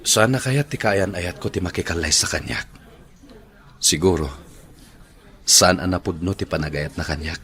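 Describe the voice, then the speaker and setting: A man's voice: low-pitched, normal speed, long pauses, a bit bright. A man with an Ilocano Filipino accent acting an evasive, smitten boyfriend in a radio drama. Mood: earnest, wooing. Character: evasive, smitten man juggling two women.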